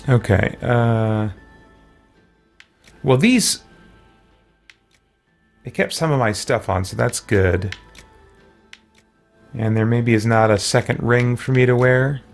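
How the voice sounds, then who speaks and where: A man's voice: medium pitch, animated, slow, gravelly, pauses often, darkish tone. A man giving casual video game commentary, thinking aloud and muttering to himself as he plays. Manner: muttering to himself while working through menus. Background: game music, keyboard clicks.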